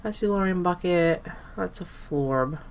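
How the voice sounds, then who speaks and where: A young woman with a low voice talks through her game in a loose, rambling way, stopping to correct herself as she goes. Her nose is blocked from allergies, which gives every word a stuffy, nasal sound. Keyboard typing can be heard behind her. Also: a little slow, slight background noise. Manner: rambling, self-correcting, thinking aloud. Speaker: gaming channel host. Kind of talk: casual gameplay commentary.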